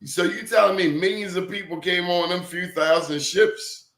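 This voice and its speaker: A man's voice: high voice, normal speed, fairly bright tone, reverberant. A man talking as a professor guest in casual conversation, telling emphatic stories and throwing out rhetorical questions.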